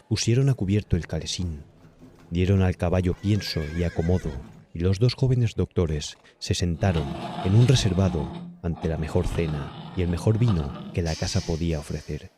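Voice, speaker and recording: A man's voice: low voice, noisy background. A man with a Castilian Spanish accent narrating a scripted horror story as an audiobook.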